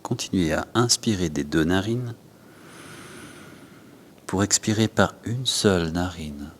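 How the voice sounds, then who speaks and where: A man leads a guided yoga and breathing class, giving unhurried instructions in short phrases with pauses. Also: low-pitched, lively intonation, a little hiss or hum. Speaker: yoga teacher.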